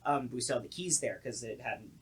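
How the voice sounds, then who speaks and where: A man's voice: medium pitch, fairly quick, slightly rough. A young man with a mid-range voice talks as a podcast co-host. He tells stories in loose, conversational language. He slips into acted-out reactions as he goes. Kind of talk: casual storytelling chat.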